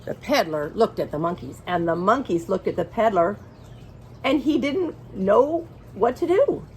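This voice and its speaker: A woman reading a picture book aloud to young children in an expressive way, engaging her listeners as she goes. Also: mid-range voice, a little hiss or hum. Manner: expressive, pauses to ask listeners questions. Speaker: children's storybook reader.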